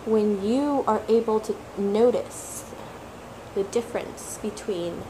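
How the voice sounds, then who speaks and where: A woman for whom English is a third language, talking slowly and reflectively as a tarot reader, repeating words for emphasis and sometimes searching for them. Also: medium pitch, noisy background. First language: not English; English is her third language. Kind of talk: slow reflective monologue.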